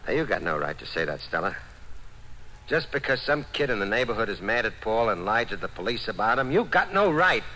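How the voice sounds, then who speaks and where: A man's voice: fairly low pitch, very animated, fairly quick, sharp tone, heavily acted. A man with an American accent playing an apologetic, defensive henpecked husband in an old radio drama, hesitant and protesting. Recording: faintly noisy old radio broadcast.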